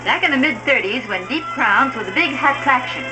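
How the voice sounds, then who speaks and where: High-voiced woman newsreel narrator over loud music. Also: lively intonation, moderate pace, rough recording. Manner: arch, quippy. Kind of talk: scripted playful fashion commentary.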